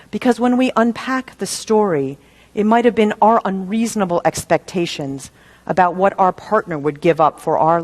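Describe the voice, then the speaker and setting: A woman minister delivering a sermon in a measured, deliberate way, using rhetorical questions and repetition for emphasis. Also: on the low side, darkish tone. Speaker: minister preaching.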